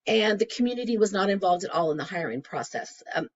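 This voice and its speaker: A woman with a crackly, hoarse voice from a cold, presenting a class case study conversationally with frequent fillers. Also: medium pitch, animated, fast. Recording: dull lo-fi recording.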